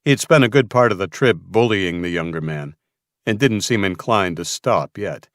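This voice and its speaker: Male narrator, lowish pitch, scripted thriller audiobook read, very dry recording. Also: animated, moderate pace, fairly bright tone, dry recording.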